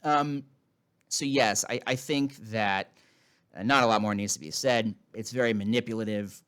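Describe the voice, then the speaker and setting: A man's voice: fairly low pitch, steady tone, moderate pace, fairly bright tone, clean tone. A man giving casual, mocking commentary on a film in a sarcastic, irreverent way. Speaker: video host and commentator.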